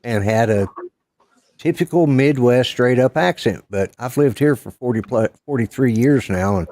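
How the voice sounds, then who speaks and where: A man's voice: mid-range voice, lively intonation, normal speed, a little mellow, no room sound. A man in his sixties from Colorado with an Oklahoma accent, chatting casually in a folksy, blunt way and swearing now and then. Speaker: livestream participant. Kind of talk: casual chat.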